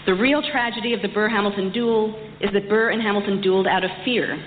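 A woman giving a prepared public lecture, telling history in measured, emphatic and deliberate phrases. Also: mid-range voice. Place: large reverberant hall. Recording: echoey hall, dull distant sound, faint noise.

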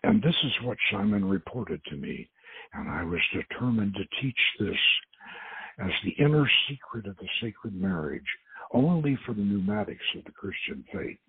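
A man with a gravelly voice reading the part of an entranced woman archaeologist solemnly recounting painful visions in an audio novel. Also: fairly low pitch, expressive, moderate pace, dry recording, heavily acted. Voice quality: gravelly, husky. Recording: dull, lo-fi audio.

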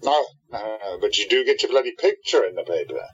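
A man plays an earnest, bitter radio-play hero with a deep, rough-edged voice. He speaks emphatically with dramatic rises and falls, on a slightly muffled recording. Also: animated, fairly quick, faint music behind. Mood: urgent, bitter. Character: intense wronged hero, bitter enemy of the villain.

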